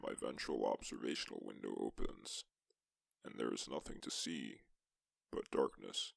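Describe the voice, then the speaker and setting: A man narrating as a lone astronaut explorer in a suspense science-fiction story, with a gravelly voice and measured, deliberate delivery. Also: a bass voice, lively intonation, no room sound, heavily acted. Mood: measured, solemn. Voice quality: gravelly, creaky. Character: lone male astronaut explorer recounting a tense exoplanet mission. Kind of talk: scripted first-person sci-fi story narration.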